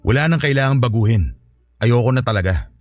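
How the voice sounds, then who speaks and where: A man's voice: medium pitch, expressive, a little fast, dry recording, faint music behind, lightly acted. A man acting in a radio drama as a weary father leaving his partner, speaking bluntly and defensively. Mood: resolute.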